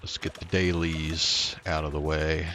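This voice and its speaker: A man chatting casually and in a low-key way as he streams a video game. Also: flat delivery, a little slow, sharp tone, soft background music. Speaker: livestream gamer. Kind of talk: casual gaming stream chat.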